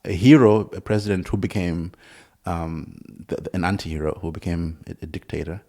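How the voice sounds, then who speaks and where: A man in his thirties, originally from Zimbabwe, speaks as a guest with a slightly low voice. He talks in halting restarts and laughs easily, recorded indoors in a conductor's room. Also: steady tone, mellow. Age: 30s. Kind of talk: casual interview conversation.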